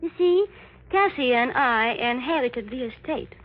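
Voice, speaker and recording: A woman's voice: sits high, expressive, unhurried, no echo. An actress playing a sinister, seductive conjure woman with a commanding, taunting manner in a 1940s radio thriller. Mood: gloating. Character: sinister seductive conjure-woman villainess, menacing and coolly amused. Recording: dull old-time radio recording.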